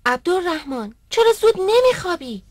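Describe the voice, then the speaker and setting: An actress playing a caring, gentle mother in a dubbed educational cartoon, with expressive delivery. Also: very high voice, no room sound, clean studio sound.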